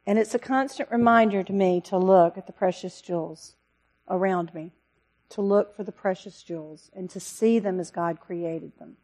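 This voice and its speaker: A woman missionary giving an earnest, pleading talk to a church congregation, with a loud voice. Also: medium pitch, normal speed. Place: church service.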